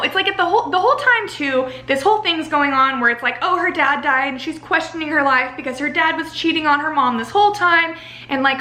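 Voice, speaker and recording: A woman's voice: high-pitched, sharp tone. A young woman giving a casual, rambling book-review vlog, blunt and dramatic in her emphasis, leaning heavily on filler words. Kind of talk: casual, chatty opinion review to camera. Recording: echoey untreated room.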